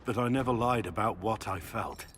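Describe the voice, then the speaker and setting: An actor playing a remorseful middle-aged warrior prisoner in a dramatic video game, solemn and heavy-hearted. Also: male voice, fairly low pitch, normal speed, a bit bright, a little hiss or hum, lightly acted. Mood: vulnerable, sincere.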